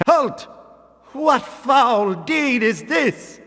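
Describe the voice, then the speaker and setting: A fanatical religious guard from a video game, barking archaic commands at thieves. His voice sits high and rings out slowly in a long hall reverb, stern and combative. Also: sing-song, a bit bright, exaggerated voice. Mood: outraged, commanding. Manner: declamatory, archaic, commanding speech. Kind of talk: video game voice acting, archaic battle barks.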